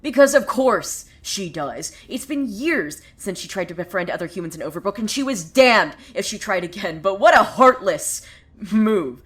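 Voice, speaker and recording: A woman's voice: mid-range voice, animated, moderate pace, fairly bright tone, natural voice. A woman playing a sardonic, opinionated storyteller in a fiction podcast, narrating conversationally with gossipy asides. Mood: exasperated, indignant. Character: opinionated, sardonic female storyteller who gossips about townsfolk. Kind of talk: dramatic first-person storytelling.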